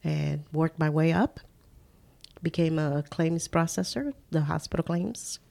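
Low gravelly older woman. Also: dark tone. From Caribbean. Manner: unhurried, halting with fillers. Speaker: guest. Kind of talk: casual interview chat.

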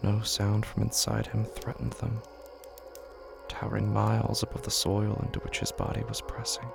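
A man with a gravelly, creaky voice giving brooding, hushed, literary narration in a horror audio drama. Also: lowish voice, monotone, normal speed, over music. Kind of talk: scripted literary narration, solemn and slow.

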